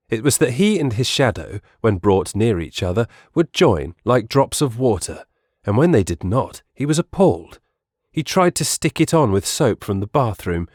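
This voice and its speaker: A man's voice: on the low side, husky, no room sound, studio quality. A man reading scripted storybook narration as the narrator of an audiobook.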